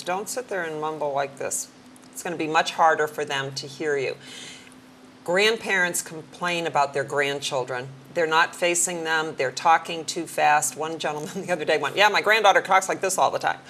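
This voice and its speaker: A middle-aged woman with a fairly low voice talks through practical hearing tips in a friendly, down-to-earth way. She sometimes slows right down to show how to speak clearly. Faint noise sits under the recording. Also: a bit bright. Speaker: hearing care professional, guest. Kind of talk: conversational advice on a talk show.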